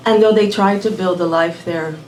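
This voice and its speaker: A woman raised in Miami telling a personal story live at a small venue, unhurried and measured, dropping in Spanish words. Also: on the low side, fairly even intonation, darkish tone, slight background noise. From born in Puerto Rico, raised in Miami. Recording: roomy venue audio from a distant mic.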